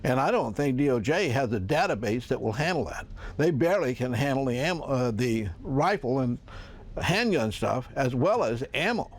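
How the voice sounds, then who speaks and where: An American man talks in a casual interview style. He is a shop owner with a voice of medium pitch, speaking in everyday, unscripted language. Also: lively intonation, normal speed.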